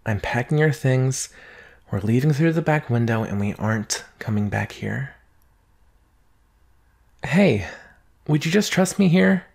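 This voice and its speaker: A young man playing an anxious, protective vampire boyfriend in an intimate roleplay audio drama, speaking in an earnest, halting way with sighs. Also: medium pitch, expressive, vocal fry. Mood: urgent, tense. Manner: halting, sighing, earnest delivery.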